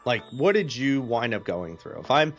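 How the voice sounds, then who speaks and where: A man's voice: medium pitch, very animated, normal speed, faint music behind. A man hosting a podcast, upbeat and conversational, who asks loose questions. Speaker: podcast host. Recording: dull lo-fi sound.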